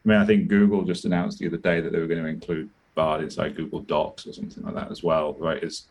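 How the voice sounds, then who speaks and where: Low-voiced British man explaining. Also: flat delivery, quick pace, darkish tone. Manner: explanatory, thinks aloud with restarts. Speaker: guest, product director. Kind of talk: conversational interview.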